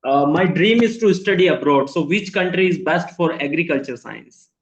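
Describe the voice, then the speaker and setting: A man's voice: on the high side, expressive, normal speed, roomy sound, highs cut off. A man from India whose first language is Hindi, practicing English in earnest, polite, simple phrasing on a laptop video call. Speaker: English learner. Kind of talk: casual online conversation lesson. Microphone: laptop microphone on a video call.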